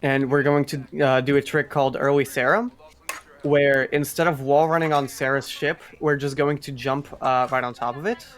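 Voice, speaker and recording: A man's voice: medium pitch, steady tone, normal speed. A man giving casual live commentary as he explains a video game speedrun.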